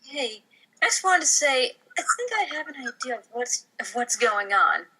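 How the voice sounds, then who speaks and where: A woman reading the part of a bold, flippant young woman who craves excitement in a mafia comedy table read. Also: sits high, normal speed, exaggerated voice, rough recording. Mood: flippant, eager.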